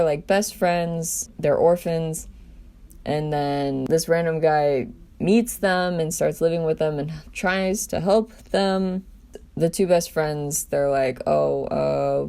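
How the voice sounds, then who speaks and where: A woman's voice: sits low, creaky, mellow. A woman casually presenting her own writing, hesitant and self-deprecating. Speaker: writer presenting own scenes. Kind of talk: casual chat and script reading.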